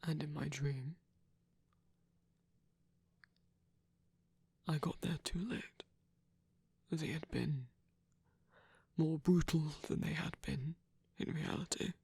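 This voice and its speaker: A performer voicing a proud, wounded villain who is secretly vulnerable, in a hesitant, breathy ASMR romance roleplay with frequent whispering. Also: mid-range voice, fairly even intonation, a little mellow, dry recording, exaggerated voice. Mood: shaken, haunted. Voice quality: breathy, husky, often whispering. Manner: hesitant, halting, sighing.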